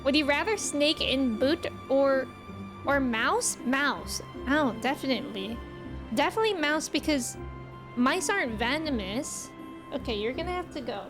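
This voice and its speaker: A woman with a high voice streams a game in a playful, expressive manner. She talks casually and reads aloud, with music clearly playing behind her. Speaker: live streamer. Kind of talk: casual chat and game reactions, reading game text aloud.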